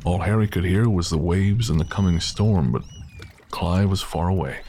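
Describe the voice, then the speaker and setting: Deep-voiced somber male narrator. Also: background noise. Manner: measured, somber storytelling. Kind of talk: scripted narration.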